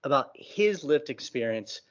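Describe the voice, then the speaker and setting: Male interviewer who stutters, relaxed informal wording, occasional repeats, slightly lo-fi sound. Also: mid-range voice, a little slow. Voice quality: occasional word repetitions of a stutterer. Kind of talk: casual conversational interview.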